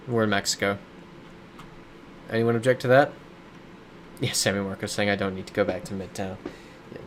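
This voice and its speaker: A man's voice: on the low side, slight background noise. A man live-streaming a game, chatting casually as he reads viewer comments aloud and riffs on them. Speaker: streamer reading chat. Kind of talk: casual live-stream chat while playing a geography game. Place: his home in a big city.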